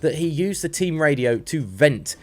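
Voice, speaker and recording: Man reading scripted sports news, slightly high-pitched. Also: lively intonation, normal speed, darkish tone. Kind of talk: scripted motorsport news narration.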